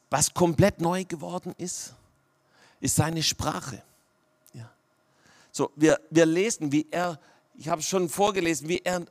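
A man from Swabia, preaching a sermon to his congregation, often checking in with "ja?" and dropping to a near-whisper for emphasis. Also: a little high. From Swabia, Germany. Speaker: pastor.

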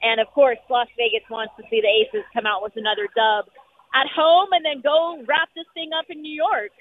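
A woman sports reporter calling in from an arena, upbeat and full of fillers, her voice going hoarse. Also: sits high, fairly even intonation, a little hiss or hum. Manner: upbeat, rapid, many fillers. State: losing her voice. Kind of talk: phone-in sports radio chat. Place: noisy arena. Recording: tinny phone line.

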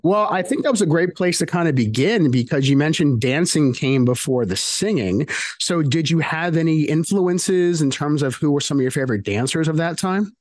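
A man's voice: on the high side, animated, moderate pace, darkish tone. A man hosting an interview, asking friendly follow-up questions. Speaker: podcast host.

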